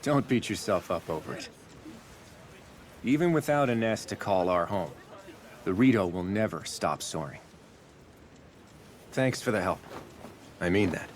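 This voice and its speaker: A man's voice: low-pitched, animated, unhurried, pauses often. An actor playing a steadfast, teasing male bird-folk warrior in a video game, with a wry, assured manner. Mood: friendly.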